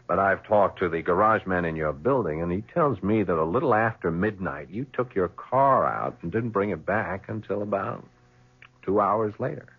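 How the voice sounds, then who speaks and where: An actor playing a shrewd, dogged police lieutenant with a dry, probing manner in a 1970s radio drama. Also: male voice, sits low, lively intonation, moderate pace, slightly rough, full character voice. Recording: muffled old radio broadcast.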